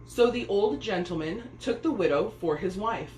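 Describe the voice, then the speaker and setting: A woman reading a children's story aloud as an expressive narrator. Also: medium pitch, normal speed, faint music behind. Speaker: storybook reader. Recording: echoey room, faint background noise.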